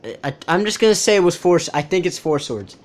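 A man's voice: a little high, lively intonation, quick pace. A young adult man giving casual reaction commentary as a video host, recorded indoors at home. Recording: music playing over the voice. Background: music and cartoon dub audio.

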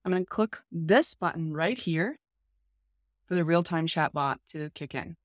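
A woman's voice: lowish voice, unhurried, no room sound, studio quality. A woman around her forties hosting a conversational tech demo from a room at home. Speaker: YouTube host. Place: small home room, indoors.

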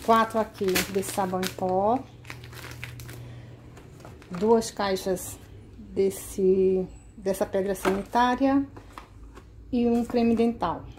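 A woman showing her grocery haul at home, listing each item one by one in a relaxed, casual way. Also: medium pitch, a little hiss or hum. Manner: slow, listing items one by one. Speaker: vlogger. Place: kitchen at home, indoors.

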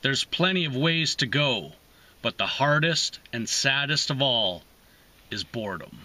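A man in his thirties reading poetry aloud with deliberate pauses between short phrases, as host of a reading series, recorded inside a parked car. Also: medium pitch, animated, sharp tone. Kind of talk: slow, emphatic poetry reading.

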